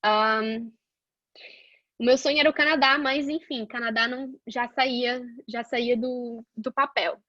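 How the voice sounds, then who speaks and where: A woman's voice: fairly high pitch, fairly even intonation, measured pace, bright, forceful tone, no echo. Young woman, from Portugal, casual storytelling, hesitant restarts, dull muffled recording. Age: young adult. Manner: chatty, hesitant, many restarts and fillers. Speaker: guest scientist sharing her career path.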